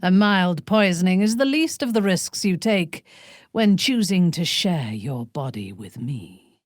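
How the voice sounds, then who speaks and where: A woman's voice: lowish voice, expressive, no echo, studio quality. An actress playing a proud, ruthless exiled warrior woman in a video game, speaking formally with sardonic, imperious deliberation. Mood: measured respect. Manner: haughty, formal, deliberate phrasing. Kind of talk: video game character voice lines.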